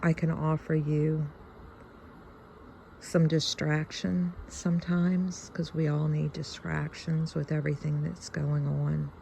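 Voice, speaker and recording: A woman in her fifties with a Southern US accent, chatting reflectively and haltingly to her viewers. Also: deep voice, level tone, gravelly, warm tone, slight background noise. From Louisiana, United States. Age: fifty-one. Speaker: video channel host. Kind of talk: casual reflective chat to viewers.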